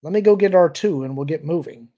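A man's voice: a little high, a little fast, warm tone, voice pushed up, close to an everyday voice. A man voicing an easygoing, practical heroic man in an audiobook, talking casually. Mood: practical, grim.

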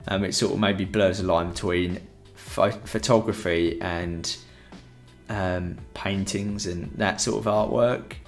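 A man's voice: low voice, steady tone, takes it slowly, soft background music. A young man with a headset mic, giving a rambling, chatty photography tutorial in a room at home. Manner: rambling, chatty, many fillers. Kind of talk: casual live-stream tutorial.

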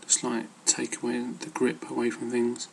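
A man's voice: mid-range voice, monotone, normal speed, gravelly, slight background noise. A man giving casual, rambling advice as an amateur fitness vlogger, hesitant and loosely worded.

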